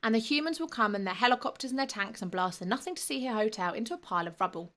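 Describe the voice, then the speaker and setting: A woman's voice: fairly high pitch, expressive, brisk, fairly bright tone. A woman voicing a bad-tempered, scheming boy who snaps and hisses his lines in a storybook reading. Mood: sneering. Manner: curt, snapping, hissing.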